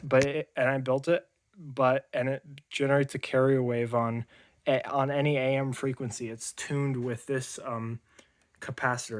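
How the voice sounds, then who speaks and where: A man giving an unscripted show-and-tell as an amateur radio hobbyist, halting and often repeating and rephrasing himself. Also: medium pitch, no echo. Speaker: amateur radio hobbyist demonstrating homemade gear.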